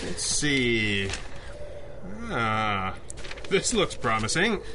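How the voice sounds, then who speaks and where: An actor playing an unflappable, formal gentleman superhero boss in a 1930s-style radio drama. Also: medium pitch, big pitch swings, very slow, noisy background. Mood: wry, assured. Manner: crisp, formal, wryly commanding.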